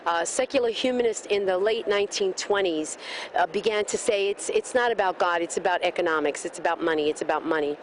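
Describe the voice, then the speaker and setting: A woman's voice: lowish voice, fairly quick, a little hiss or hum. Fervent woman speechmaking outdoors. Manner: fervent, speechmaking, rhetorical questions. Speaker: rally protester being interviewed. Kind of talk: impassioned street interview. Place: outdoors at a street rally.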